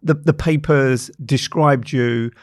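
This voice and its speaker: A man's voice: mid-range voice, steady tone, slow pace, no echo. A man hosting an interview, asking thoughtful questions and often repeating his words as he searches for them. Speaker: interview host. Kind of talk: conversational interview.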